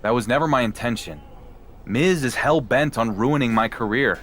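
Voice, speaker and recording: A man's voice: mid-range voice, normal speed, fairly bright tone, a little hiss or hum, close to an everyday voice. A man playing a defiant, dry-witted underdog wrestler in a video game story scene. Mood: weary, defensive. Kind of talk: video game cutscene acting.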